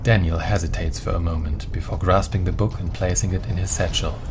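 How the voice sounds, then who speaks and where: Male narrator, very low voice, scripted dramatic fantasy storytelling over prominent music. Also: fairly even intonation, a little fast.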